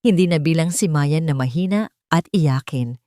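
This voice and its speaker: A woman reading scripted dramatic narration as a storyteller, in measured short phrases. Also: low voice, lively intonation, dark tone, no echo, clean studio sound.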